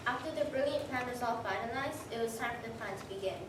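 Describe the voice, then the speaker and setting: A woman's voice: fairly high pitch, fast talker. Echoey woman narrator, far from the mic. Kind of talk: scripted story narration, reader's theater. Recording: distant mic, very echoey room, noisy.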